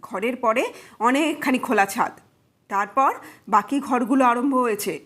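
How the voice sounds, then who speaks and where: A woman's voice: a little high, unhurried, fairly bright tone. A woman voicing a shaken young man in an audio story, earnestly recounting a frightening night in a dramatic, suspenseful way. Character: shaken young brother-in-law recounting a ghostly night visitor.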